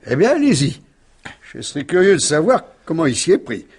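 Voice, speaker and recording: An actor playing a middle-aged, urbane and defiant murder suspect in a radio mystery, with an ironic, exasperated manner. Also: male voice, fairly high pitch, big pitch swings, a little fast, a little mellow, breathy edge, heavily acted. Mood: ironic, sceptical. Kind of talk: 1950s French radio drama acting.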